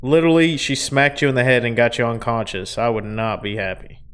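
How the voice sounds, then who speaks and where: Guy reading a visual novel out loud, smooth clear midrange voice, tosses in casual comments. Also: medium pitch, steady tone, fairly quick. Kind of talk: expressive read-aloud narration with casual commentary.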